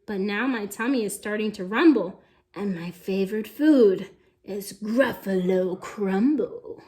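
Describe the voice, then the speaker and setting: A woman's voice: mid-range voice, a little slow, mellow, pitched below the performer's own voice, lightly acted. A woman voicing a small, clever, boastful mouse in a children's storybook, with sing-song exclamations. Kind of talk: animated children's storybook read-aloud.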